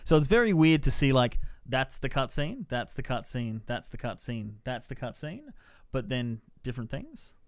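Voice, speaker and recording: A man's voice: mid-range voice, lively intonation, normal speed, no echo. Casual man chatting through a review, dry sound. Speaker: podcast film reviewer.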